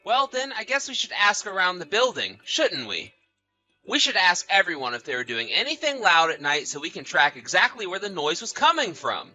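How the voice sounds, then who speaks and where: A man's voice: high-pitched, big pitch swings, moderate pace, pushed and bright, soft background music, exaggerated voice. A man acting a betrayed husband in a dramatized story, polite but suspicious and accusing, with a theatrical, emphatic delivery. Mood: exasperated, accusing. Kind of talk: dramatized text-message story narration.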